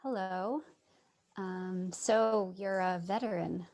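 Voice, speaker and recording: Lady acting a sincere naive writer in a play reading, mid-range voice, gentle, bit hesitant. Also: mid-range voice, steady tone, slow pace. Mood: hesitant, earnest. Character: earnest, naive, sympathetic woman writer. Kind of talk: staged reading with first-person narration.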